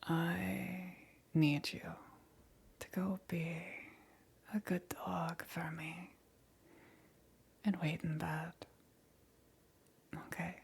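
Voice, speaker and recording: Woman playing a breathy, whispering demon queen, very slow. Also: lowish voice, level tone, heavily acted. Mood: doting, teasing. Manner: slow, coaxing, condescending praise. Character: possessive, doting demon queen claiming a captive pet. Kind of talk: ASMR roleplay.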